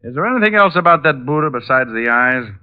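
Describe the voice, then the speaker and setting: A man's voice: mid-range voice, lively intonation, fast talker, clean tone, close to an everyday voice. An actor playing a cool, wry male private detective in an old-time radio drama, breezy and probing. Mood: brisk questioning. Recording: muffled vintage radio recording.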